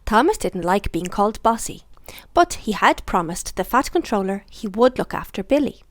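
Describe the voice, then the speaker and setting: Animated woman reading a children's story. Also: medium pitch, moderate pace, dry recording. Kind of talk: expressive read-aloud bedtime story narration.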